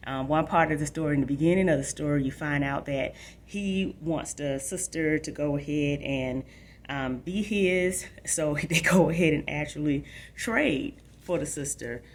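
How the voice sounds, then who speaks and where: A woman's voice: low-pitched, moderate pace, a little hiss or hum. A woman hosting a book program for children, explaining things directly to young listeners and reading aloud. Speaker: library book show host. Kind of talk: informal book talk and read-aloud for children.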